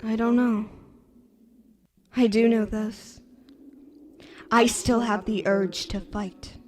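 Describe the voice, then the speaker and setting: A woman's voice: on the high side, fairly even intonation, slow pace, a little hiss or hum. A woman playing a solemn, defiant young female warrior in an anime fan drama, speaking in a stern, formal, commanding way. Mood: cool, grave.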